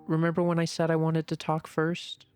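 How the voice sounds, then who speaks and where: A man voicing a shy, earnest young female rabbit in an amateur dramatic reading, speaking softly and from the heart. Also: fairly high pitch, monotone, moderate pace, faint music behind, lightly acted. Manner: soft, heartfelt, anxious inner murmuring. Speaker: voice actor in a fan reading.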